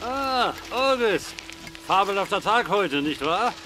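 A man's voice: sits high, sing-song, takes it slowly, over music, heavily acted. An actor playing a cheerful male neighbor of the 1850s in an audio drama. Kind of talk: German audio drama acting.

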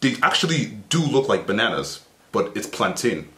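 A young man reacting to a video from his small bedroom, excitable and playful, with teasing asides into the webcam. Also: on the low side, normal speed. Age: young adult. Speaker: reaction vlogger. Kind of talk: casual reaction commentary. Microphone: webcam at desk, earphones in. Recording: echoey room.

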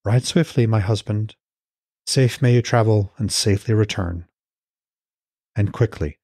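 Man voicing a shrewd, gentle Norse goddess. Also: fairly low pitch, a little slow, breathy edge, no room sound, clean studio sound. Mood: solemn blessing.

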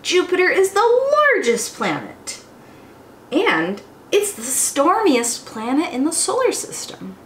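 A young woman hosting storytime as a teacher, reading aloud to small children in an expressive, exclamatory way. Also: high voice, slow pace. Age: young adult. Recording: roomy echo, faint background noise.

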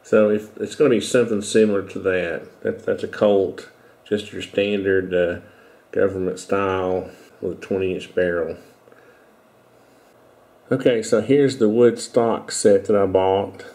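A man's voice: lowish voice, fairly even intonation, unhurried, dark tone. A middle-aged man with a stuffy, congested voice, casually showing off a hobby project in a rambling, self-correcting way, recorded on a headset mic in a small room. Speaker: video host. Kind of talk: casual show-and-tell talk.

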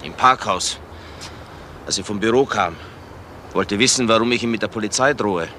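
Bright, forceful, guarded father. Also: on the low side, moderate pace. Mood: indignant. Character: protective, wary middle-aged father. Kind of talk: German crime radio drama acting. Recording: faintly noisy radio drama recording.

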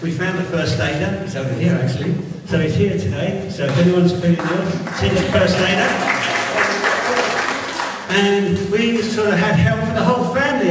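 A middle-aged man leading a church meeting, talking in a relaxed, rambling, encouraging way into a handheld mic. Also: sits high. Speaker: church leader. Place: large church hall indoors. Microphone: handheld mic, filmed from far back. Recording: echoey hall audio from far off, faint hiss.

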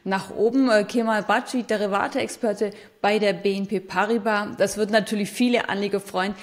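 A woman in her thirties hosts a financial news interview. She speaks at a middling pitch for a woman and asks brisk, leading questions. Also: steady tone. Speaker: host interviewer.

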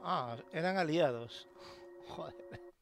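A man's voice: on the high side, slow pace, vocal fry, a little hiss or hum. A man doing casual let's play commentary, chatty, swearing and thinking aloud. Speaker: gameplay commentator.

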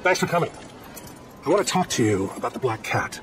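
An actor playing a dogged, businesslike veteran police detective near retirement in a video game. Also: male voice, medium pitch, sing-song, brisk, natural voice. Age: older. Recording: distant voice under loud city noise.

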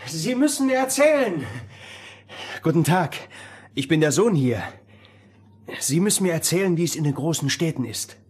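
Eager, cocky teenage boy, husky. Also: fairly high pitch, moderate pace, pauses often. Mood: eager chatter. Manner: impetuous, boastful, excitable. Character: eager, cocky fifteen-year-old miller's son longing for adventure. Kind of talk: radio play fairy tale acting.

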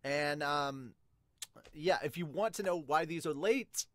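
A man's voice: a little high, a little slow, a bit bright, dry recording, studio quality. A man in his thirties chatting casually as a podcast host, talking to camera about manga. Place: small bedroom, indoors. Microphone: desk microphone, webcam setup.

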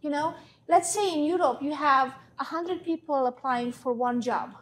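A woman's voice: sits high, brisk, fairly bright tone, slight background noise. A middle-aged woman from Hungary with a Hungarian accent, answering in a conversational interview in an emphatic, opinionated way. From Hungary, born in Romania. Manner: emphatic, opinionated, repeats points for stress. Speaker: interview guest, small business owner. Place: classroom, indoors. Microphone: lapel mic.

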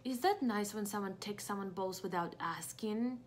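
A young woman teaching preschoolers through a picture-book read-aloud, asking questions expressively and pausing for answers. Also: mid-range voice, slight background noise. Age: young adult. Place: small home room indoors.

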